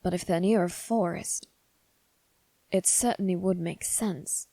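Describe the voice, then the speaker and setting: A woman voicing a haughty, anxious young noble boy in a halting, formal monologue for dramatic ASMR roleplay. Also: on the low side, dark tone, dry recording. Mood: uneasy pondering. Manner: halting, formal, self-reassuring delivery.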